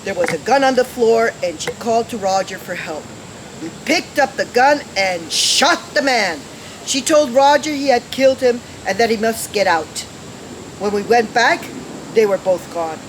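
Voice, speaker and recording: A man's voice: very high voice, animated, normal speed, fairly bright tone. A male actor playing a worried, formal parole official and father, earnest and polite, in an old-time radio detective drama. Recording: noisy, hissy radio play recording.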